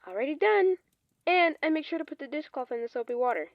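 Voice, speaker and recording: Over a dull, muffled phone line comes an actress as a sweet, pleading teenage daughter in an audio drama. Her voice is high and swoops around with lots of animation. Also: lively intonation, quick pace, no room sound. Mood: sweet, eager. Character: sweet, pleading fifteen-year-old daughter. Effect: speakerphone filter. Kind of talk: naturalistic audio drama acting.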